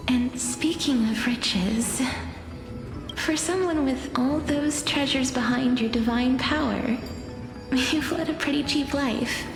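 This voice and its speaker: Young mystic lady, fairly high, slow, soft and solemn, fantasy game, echoing with music. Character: mysterious calm young female seer, softly melancholy. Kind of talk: dubbed fantasy game dialogue. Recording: long reverb with loud music.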